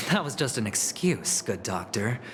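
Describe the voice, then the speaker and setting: A man playing a smug, scheming young gambler in a video game, teasing and dramatic, with hushed asides. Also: medium pitch, big pitch swings, measured pace, background noise. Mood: scheming, confident. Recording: game music under the voice. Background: game music.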